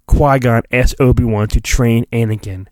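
A man's voice: mid-range voice, steady tone, normal speed, a little mellow, dry recording. A man hosting a podcast, reading a storybook in a mock-dramatic, playful way with casual asides.

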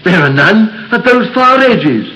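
An actor playing a grave, earnest elderly preacher who pleads and warns, in a 1930s radio drama. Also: male voice, high voice, very animated, slow, bright, forceful tone, slight background noise, exaggerated voice. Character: solemn elderly male preacher, fearful and pleading. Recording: muffled old radio broadcast.

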